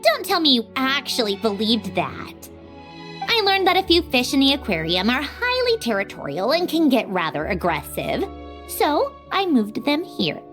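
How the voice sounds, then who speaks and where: A woman's voice: high voice, wildly swooping pitch, normal speed, a bit bright, faint music behind, heavily acted. A woman playing an aloof, teasing young woman with dry wit and a curt, haughty manner in an anime game.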